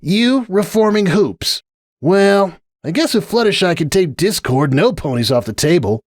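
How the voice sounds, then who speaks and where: Casting: man playing sulky young cartoon mare, high and swooping, scoffing and dramatic. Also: lively intonation, unhurried, dry recording, clean studio sound. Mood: wry. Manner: scoffing, exclamatory, emphatic. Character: brash, jealous young female cartoon pony, sulky and dramatic. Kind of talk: dramatic fan fiction reading.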